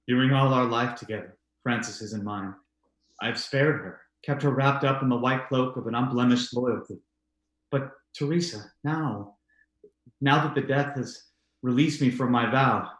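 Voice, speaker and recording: A man's voice: medium pitch, a little slow, close to an everyday voice. Man acting tormented widower in an echoey room. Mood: pleading confession. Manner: melodramatic, brooding. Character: tormented, guilt-ridden widower in love. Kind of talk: old-time radio drama acting. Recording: echoey, roomy sound.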